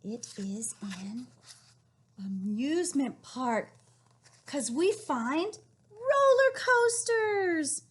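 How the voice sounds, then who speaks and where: A woman reading a picture book aloud to young children, encouraging and questioning them in an animated way with a husky, breathy voice. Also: high voice, extremely slow. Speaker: read-aloud storyteller teaching young children.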